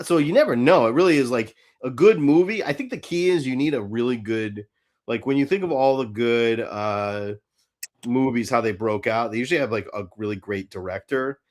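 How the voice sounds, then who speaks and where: A man co-hosting a podcast in casual fan chat, halting and interrupting himself, with some mild swearing. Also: mid-range voice, lively intonation. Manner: halting, self-interrupting, mildly profane. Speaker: podcast co-host.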